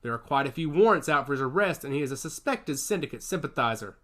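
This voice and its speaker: A man's voice: mid-range voice, animated, fast talker, a bit bright, lightly acted. A man voicing a male soldier who reads out information in a matter-of-fact way during a story reading. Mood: informative. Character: young male soldier relaying scan data.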